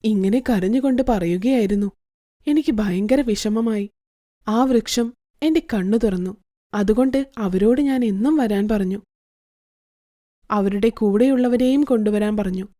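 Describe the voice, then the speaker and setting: A woman voicing a kind older mother-in-law in an animated story who speaks in a soft, low and gentle way. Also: a little slow, long pauses, natural voice.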